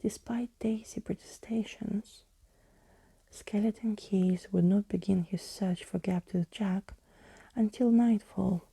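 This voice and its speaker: A woman narrating a storybook in a soft, deliberate ASMR whisper. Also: medium pitch, steady tone, gravelly, slightly rough, no echo. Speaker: ASMR host reading a story aloud.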